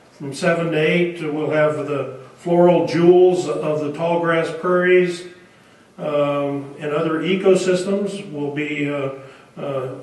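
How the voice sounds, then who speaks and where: Man, event host, halting speech, echoey hall, distant mic. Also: on the high side. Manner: halting, many filler pauses. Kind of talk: reading an introduction and announcements. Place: auditorium.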